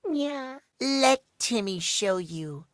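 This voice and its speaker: A man's voice: very high-pitched, animated, drawn-out words, a bit bright, dry recording, clean studio sound, exaggerated voice. A man voicing a childish, needy living clock boy in a video game, with cutesy, playful baby talk that refers to himself in the third person. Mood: proud, tender. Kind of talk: animated video game character acting.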